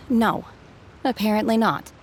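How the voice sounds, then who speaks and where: A woman playing an aloof, blunt young mountain recluse in a video game, calm, unhurried and deadpan. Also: medium pitch, slight background noise, close to an everyday voice. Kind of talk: video game character dialogue.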